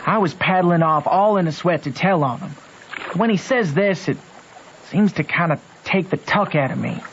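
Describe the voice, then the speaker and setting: A man's voice: high voice, moderate pace, a little hiss or hum. A man playing a conscience-torn backwoods boy with a Southern American accent and folksy, earnest speech in an old-time radio drama. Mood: guilty. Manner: folksy, earnest, ungrammatical rustic talk. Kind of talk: old-time radio drama, first-person narration and dialogue. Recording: dull old radio recording.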